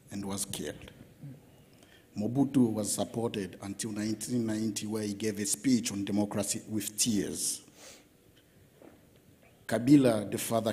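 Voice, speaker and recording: A man from Zambia asking a question from the floor, slowly listing events one by one. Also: fairly low pitch, mellow. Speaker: audience member asking a question.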